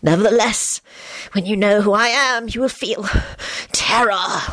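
Woman voicing a slow, hissing, menacing skull spirit. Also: medium pitch, a bit bright, no echo, pitched above the performer's own voice. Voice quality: hissing, threatening. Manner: slow, drawn-out, grandiose. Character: menacing talking skull, ancient dark spirit.

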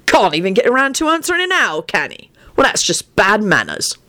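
A woman voicing a brash, vain, hot-headed young man in an audiobook story, loud and cocky. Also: sits high, wildly swooping pitch, moderate pace, no echo, voice pushed up, exaggerated voice. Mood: irritated, sulky.

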